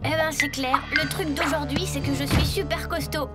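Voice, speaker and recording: Woman voicing a high-pitched, good-natured cartoon schoolboy. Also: fairly even intonation, moderate pace, over loud music, natural voice. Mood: puzzled. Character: good-natured, level-headed schoolboy hero, reluctant to show off. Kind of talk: French animated series dubbing.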